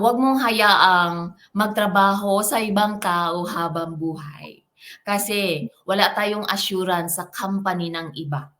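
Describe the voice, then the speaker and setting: A woman with a Filipino accent, a guest coach giving animated motivational talk on a video call. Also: on the low side. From Philippines. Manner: animated, switching between English and Tagalog.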